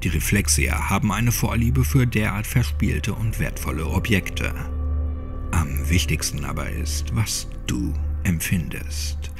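A man narrates a creepy horror audiobook in a low voice. His delivery is dramatic and suspenseful, with lively swings in intonation. Music plays clearly behind him. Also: a little slow. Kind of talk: scripted creepypasta audiobook narration, addressing the listener. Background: music under the voice.

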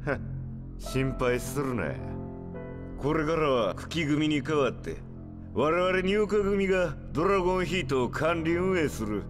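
Slow, smug Kansai gangster boss. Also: male voice, fairly high pitch, lively intonation, over music. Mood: businesslike, smug. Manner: drawling, mocking. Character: smug, menacing middle-aged male yakuza boss. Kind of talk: dramatic game cutscene acting.

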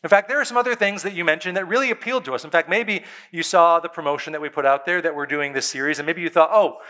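A man, a pastor preaching a sermon on a church stage, conversational and emphatic, with dramatic pauses and soft drops in his voice. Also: a little high. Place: church stage before a seated congregation.

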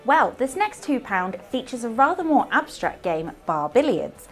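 A British woman in her thirties presents a scripted promo to camera. Her voice is slightly high and swings animatedly in pitch, with music behind it. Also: big pitch swings, normal speed. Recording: clean voice over background music.

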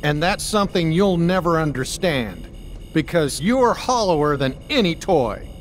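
An actor playing a plucky, loyal toy cowboy in an animated video game, earnest and excitable. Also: male voice, on the high side, unhurried, a little hiss or hum. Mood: indignant.

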